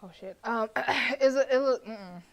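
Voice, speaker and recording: A young woman streaming a horror visual novel, reading the narration aloud and breaking into blunt, profane, animated reactions with frequent laughter. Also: fairly high pitch, measured pace.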